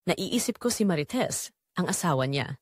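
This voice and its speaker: Remorseful secretary-mistress played by a woman, first-person romantic audio drama, animated intonation, confessional storytelling, dry recording. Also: on the low side, moderate pace, slightly rough, no echo. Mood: wistful, guilty.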